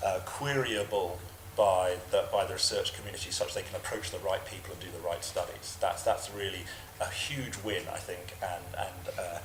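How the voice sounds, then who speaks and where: A man with a fairly low voice answers questions on an expert panel, stumbling over repeated words as he thinks aloud. He speaks in a large echoey room, and clear background noise sits under his voice. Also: fairly even intonation, moderate pace, hardly any pauses. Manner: hesitant, many repeated words. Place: large parliamentary committee room.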